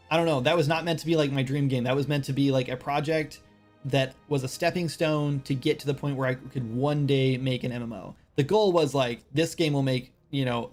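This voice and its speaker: A man in his late thirties, chatting casually on a game development livestream in a rambling, self-deprecating way full of fillers. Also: medium pitch. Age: 30s. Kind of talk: casual livestream chat while working.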